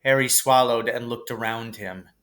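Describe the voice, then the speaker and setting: A man narrating a children's novel aloud in a measured, expressive way. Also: medium pitch. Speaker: reader, teacher. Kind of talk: scripted storybook narration.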